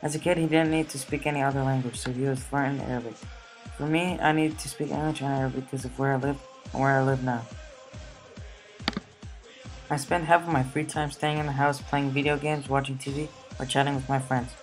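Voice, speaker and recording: A teenage boy from the United States reading his school essay aloud in a steady, plain way. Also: medium pitch, brisk, soft background music, low-quality recording. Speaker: student.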